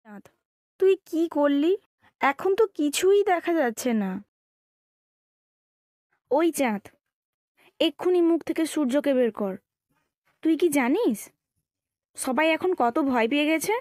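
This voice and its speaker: A woman's voice: high-pitched, lively intonation, a little slow, pauses often, darkish tone, dry recording, clean studio sound. A woman voicing a sensible, scolding planet Earth, a knowing friend character in a children's cartoon. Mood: alarmed scolding. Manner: knowing, admonishing.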